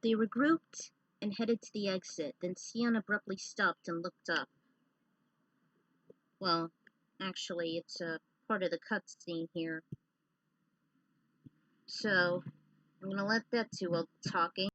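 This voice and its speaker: A woman's voice: medium pitch, slow, long pauses, fairly bright tone, no echo, rough recording. A woman hosting with casual commentary and reading a story she has written out. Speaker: host and fan fiction reader.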